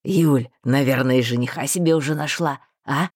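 A woman voicing a bluff, talkative male mage with a rough, folksy, rambling way of speaking. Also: rumbling low, normal speed, a little mellow, no room sound, clean studio sound, pitched below the performer's own voice. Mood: teasing.

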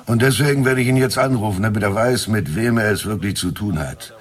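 An actor playing a pompous, vain police chief in a German radio drama, self-important and declamatory. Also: male voice, on the low side, brisk, close to an everyday voice. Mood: brisk, self-important.

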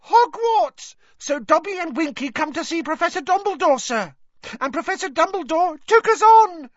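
An audiobook narrator voicing an excitable male house elf, squeaky and shrill, eager and servile, speaking of himself in the third person. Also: normal speed, no echo, muffled recording. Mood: delighted, excited. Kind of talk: audiobook character voice.

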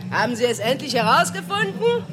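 Cheeky, bright young woman. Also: sits high, very animated, unhurried, music in the background, a crowd in the background. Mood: defiant, dismissive. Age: young adult. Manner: flippant, slangy. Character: cheeky, streetwise young woman, unimpressed and defiant. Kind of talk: comic radio play dialogue.

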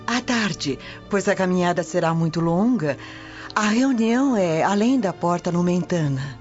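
A woman playing a loyal, devout Roman friend in a radio drama, calm and assured. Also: lowish voice, measured pace. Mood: calm, reassuring. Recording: dull lo-fi audio with music behind.

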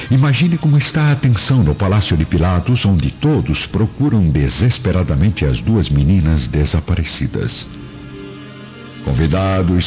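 Deep guy narrator, very expressive, radio drama, muffled with music under.

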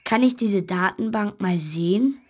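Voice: mid-range voice, unhurried, darkish tone, lightly acted. A young performer playing a bewildered, polite and curious schoolboy in a children's radio play, hesitant and natural.

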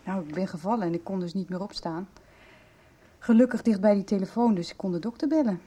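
A woman's voice: mid-range voice, fast talker, heavy background noise, close to an everyday voice. An actress playing a caring, inquisitive woman in a radio soap, speaking with concern. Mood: subdued, worried. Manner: concerned, questioning. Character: caring, inquisitive woman friend in a hospital bed.

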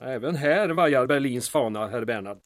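An actor playing a guarded small-town mayor in an audio drama, formal and precise, with slight hesitations and a pedantic care for detail. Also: male voice, medium pitch, expressive, dry recording, clean studio sound, lightly acted. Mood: dry, recounting.

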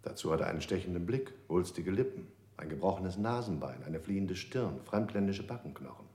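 A man's voice: sits low, lively intonation, a little fast, a little mellow, echoey room. An actor playing a cold, prejudiced male interrogator in a radio drama, scornfully listing traits.

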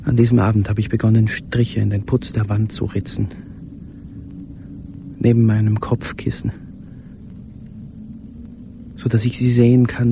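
Casting: a tormented, solitary man in literary radio drama, low gravelly voice, halting and hushed, noisy ambience behind. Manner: halting, brooding, often sinking into whispers. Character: tormented, lonely man confessing to an absent listener. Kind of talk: intimate literary radio play monologue.

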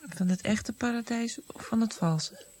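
An actress playing a curious, gentle granddaughter in a radio drama, soft and questioning. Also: female voice, fairly high pitch, a little slow, natural voice.

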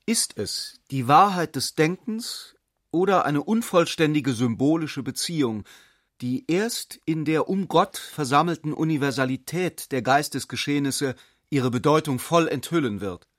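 A man's voice: fairly high pitch, lively intonation, a little slow, dry recording, studio quality. A man reading a reflective passage for a radio drama with a pondering, questioning delivery. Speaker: reader of an essayistic passage.

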